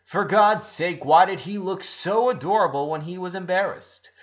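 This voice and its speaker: A man reading a story aloud as a narrator, with expressive, emphatic delivery and dramatic stresses. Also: high-pitched, moderate pace. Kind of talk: scripted narration, audiobook-style story reading. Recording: dull lo-fi recording.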